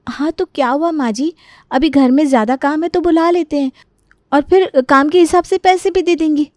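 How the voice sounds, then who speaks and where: Lady doing a young put-upon indian daughter-in-law in a story, highish voice, meek but resentful, really dry audio. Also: moderate pace, darkish tone, no room sound, natural voice. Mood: dutiful, explaining. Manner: pleading, complaining. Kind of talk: dramatised Hindi storytelling.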